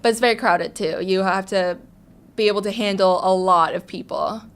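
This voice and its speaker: A young woman from Hong Kong being interviewed, answering softly with frequent ums and small laughs. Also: mid-range voice, steady tone, moderate pace, smooth. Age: young adult. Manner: soft-spoken, frequent ums and little laughs. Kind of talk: casual interview.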